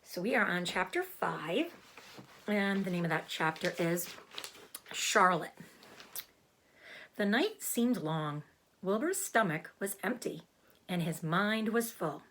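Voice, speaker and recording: A woman reading a children's book aloud like a teacher, narrating expressively and clearly for young listeners. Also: on the low side, takes it slowly, long pauses. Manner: expressive, explains hard words. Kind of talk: storybook read-aloud narration.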